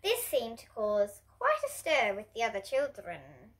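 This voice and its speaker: A girl of about ten to twelve reading a picture book aloud to young children in an expressive, presentational way. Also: on the high side, measured pace. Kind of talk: storybook read-aloud for children. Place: indoors at home, in front of bookshelves.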